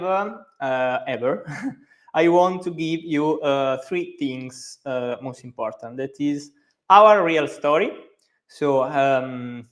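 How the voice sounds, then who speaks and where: A man in his thirties with a strong Italian accent, a native Italian speaker hosting a live stream as a company co-founder, talking with many fillers and restarts. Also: a little high. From Italy.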